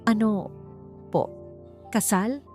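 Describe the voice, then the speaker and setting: A woman's voice: mid-range voice, takes it slowly, darkish tone, soft background music, pitched above the performer's own voice, lightly acted. Woman reading as a timid, anxious young woman. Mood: timid, nervous. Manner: hesitant, polite. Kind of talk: storybook character dialogue.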